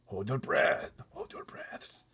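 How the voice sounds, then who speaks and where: A man voicing a gentle, nervous giant who speaks in a hushed way with folksy, muddled grammar. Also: slow. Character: gentle, nervous friendly old-fashioned giant.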